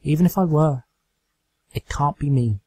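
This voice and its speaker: A man voicing a serious, rational female unicorn pony, firm but sorrowful, earnest and measured. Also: on the high side, warm tone, slightly rough, pitched above the performer's own voice. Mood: grave.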